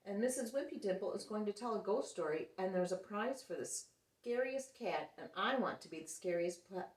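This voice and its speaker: A woman voicing an eager, easily discouraged little boy cat in a storybook, with a pleading, excitable manner. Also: medium pitch, moderate pace, close to an everyday voice. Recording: roomy echo, distant microphone.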